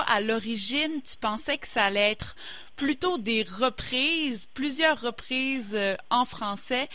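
A woman's voice: a little high, sharp tone, no room sound. A woman radio host who interviews a guest and sums up her story for listeners. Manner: attentive, summarizing the guest's story.

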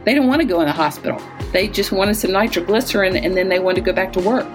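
A woman's voice: medium pitch, fast, over loud music. An American woman in her sixties from Southwest Virginia, telling personal stories conversationally, as if in an interview, often quoting people she has known. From Southwest Virginia, United States. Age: 60s. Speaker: interviewee, retired-age physician and poet.

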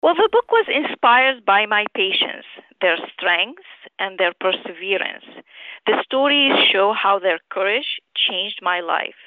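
A middle-aged woman from Syria giving measured, articulate, formally phrased answers as an author and physician in an interview over the telephone. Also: mid-range voice, big pitch swings, no echo. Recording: tinny narrow phone line.

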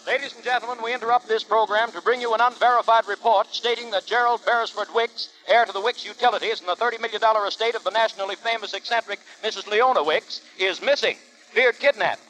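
An actor playing an urgent radio announcer reading a 1930s news bulletin. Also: male voice, extremely high pitch, fairly even intonation, fast talker, sharp tone, rough recording.

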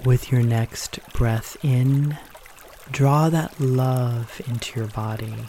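Slow, gentle man guiding meditation. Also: medium pitch, slight background noise. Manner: gentle, unhurried, pausing between short phrases. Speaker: meditation guide. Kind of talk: guided meditation.

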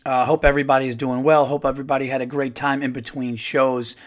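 Man, middle-aged, podcast host, a bit hoarse, rambling casual monologue, mid-pitched voice. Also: flat delivery, fast talker. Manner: rambling, chatty, many fillers and restarts. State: slightly hoarse, losing his voice.

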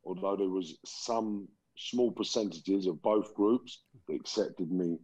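A man, a guest telling his life story slowly and reflectively with frequent pauses, over lo-fi remote call audio. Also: low voice, mellow, husky, no room sound. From England. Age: 40s. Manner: slow, reflective, many pauses and ums.

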